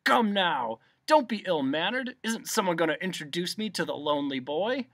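Someone putting on a pompous, blustering old humbug bug, swooping loudly. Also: mid-range voice, sing-song, a little slow, dry recording, clean studio sound, voice pushed up. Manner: pompous, shouting, boastful.

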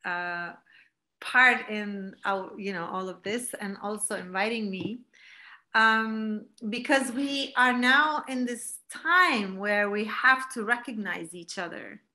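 A woman's voice: medium pitch, takes it slowly, bright, forceful tone. A woman in her forties from Palestine, giving a reflective online talk as a guest speaker, with many fillers and restarts, heard through a laptop on a video call. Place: home room, indoors. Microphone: laptop webcam video call.

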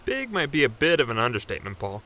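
A man's voice: mid-range voice, big pitch swings, fast, bright, forceful tone. A man voicing a giant know-it-all blue ox in an audio drama, speaking in a precise, bookish, pedantic way. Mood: pedantic, skeptical.

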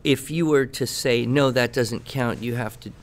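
A man giving conversational expert advice on a podcast, explaining in measured phrases with pauses mid-sentence. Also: mid-range voice. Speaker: education expert answering questions.

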